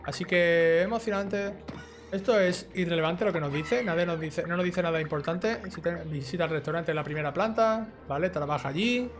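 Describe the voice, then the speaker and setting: Young male gaming YouTuber, Spain accent, high voice, informal chatty delivery, faint game music underneath. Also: fairly quick. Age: young adult. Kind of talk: casual let's play commentary, reading game dialogue aloud. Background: video game music.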